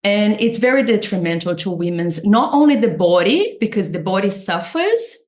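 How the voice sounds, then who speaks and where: A woman's voice: mid-range voice, big pitch swings, moderate pace. A woman with a Brazilian accent, a nutritionist guest, explaining her work earnestly and enthusiastically. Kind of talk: interview answers. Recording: roomy echo.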